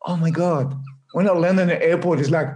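A man who grew up in Spain, speaking English as an interview guest, halting and laughing often. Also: fairly high pitch, fairly even intonation, a little mellow. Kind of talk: conversational interview.

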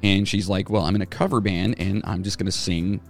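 A man with a gravelly, slightly low voice talks casually as a podcast co-host, wandering through his points with fillers and false starts. He speaks like a former band singer swapping stories. Faint music sits behind him. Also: steady tone, brisk. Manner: rambling, lots of fillers and restarts. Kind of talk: casual chat.

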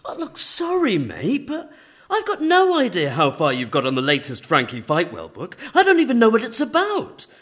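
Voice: medium pitch, huge pitch swings, moderate pace, fairly bright tone. An actor playing an anxious, chummy publishing agent in a radio play, emphatic and placating. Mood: pressing, apologetic.

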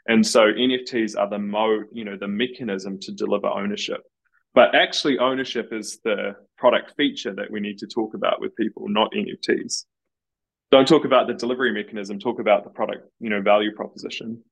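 A man's voice: lowish voice, fairly even intonation, a little fast, creaky, low-quality recording. A man as a podcast guest, confident and explanatory, building on the host's points. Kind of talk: casual podcast interview.

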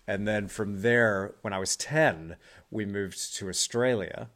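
A man's voice: fairly low pitch, measured pace, fairly bright tone. A man from Texas hosting a podcast interview, chatting casually and encouragingly with frequent short affirmations. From Texas, United States. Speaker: podcast host.

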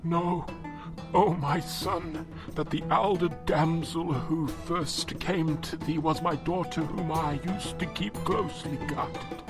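A man voicing a grieving, dignified old father in an audio drama, with halting, formal, archaic phrasing. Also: on the high side, steady tone, over music, pitched above the performer's own voice. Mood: sorrowful, confiding.

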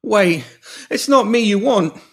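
A man voicing a coarse male street pimp who is frantic and pleading, in a loud, raised voice. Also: high voice, sing-song, a little fast, pitched above the performer's own voice. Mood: panicked pleading. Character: male pimp Pinky, coarse thug turned panicked.

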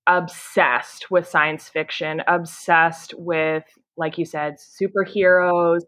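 A woman's voice: on the low side, flat delivery, slow, fairly bright tone. A Canadian woman in her thirties, a podcast guest, who talks eagerly and casually with many "you know"s. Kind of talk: casual conversation.

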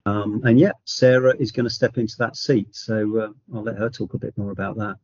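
Brief: male charity chair, husky tone, measured pace, dry dull sound. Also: on the low side, fairly even intonation, darkish tone, dry recording. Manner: measured, many ums and restarts. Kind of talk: interview answer.

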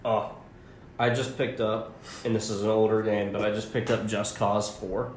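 A man's voice: on the low side, level tone, moderate pace. A young man co-hosting a casual chat, joking and easygoing, laughing often. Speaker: podcast co-host. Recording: echoey room with faint hiss.

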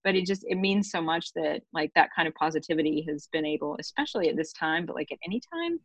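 Low-voiced gushing woman host. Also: animated, fast, no room sound. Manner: gushing, rambling, filler-heavy. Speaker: podcast host and author. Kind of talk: casual podcast chat.

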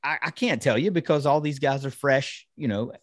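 A man's voice: mid-range voice, moderate pace, no echo, studio quality. Man, mid-range voice, sports podcast host, enthusiastic football chat, conversational, very little room sound. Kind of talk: casual football analysis chat.

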